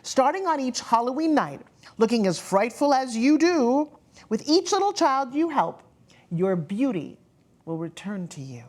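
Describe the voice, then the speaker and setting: A woman voicing a kindly magical letter writer who grants second chances in gentle, solemn storybook rhyme. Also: fairly high pitch, very animated, unhurried. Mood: kindly, instructing.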